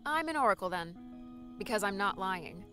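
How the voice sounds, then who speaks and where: A woman's voice: mid-range voice, lively intonation, moderate pace, over music, close to an everyday voice. A woman playing an earnest, encouraging young woman in a dramatised audiobook. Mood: grateful. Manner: earnest, reassuring.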